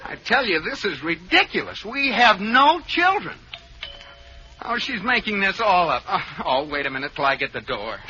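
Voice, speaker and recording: An actor playing a flustered, exasperated husband who stammers and protests in an old-time radio situation comedy. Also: male voice, on the high side, very animated, a little fast, hardly any pauses, bright, forceful tone. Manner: stammering, indignant. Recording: muffled, faintly noisy old radio recording.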